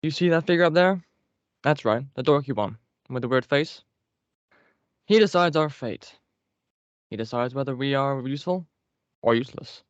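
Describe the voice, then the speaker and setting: A man's voice: mid-range voice, lively intonation, normal speed, pauses often, dry recording, natural voice. A man voicing a condescending, world-weary scrap-paper General in an amateur table read. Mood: scornful. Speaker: actor in a script table read. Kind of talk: amateur table read over a group call. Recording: dry, dull group-call audio.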